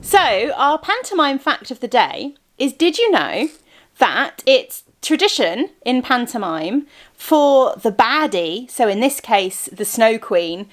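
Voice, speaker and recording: A woman hosting a family vlog, chatting casually and explaining things in an informal way. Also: a little high. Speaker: vlog host.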